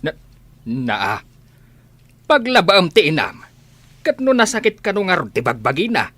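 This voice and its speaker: A man's voice: sits high, wildly swooping pitch, unhurried, bright, forceful tone, no echo, heavily acted. A man playing a bossy, fussing middle-aged village father in a radio comedy, scolding and emphatic. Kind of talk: radio comedy drama acting.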